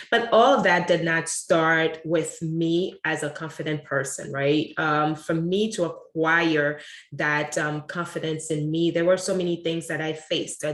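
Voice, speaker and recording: A woman in her forties from Haiti, a guest coach telling her personal story slowly and reflectively, now and then laughing. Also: sits low. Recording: echoey room.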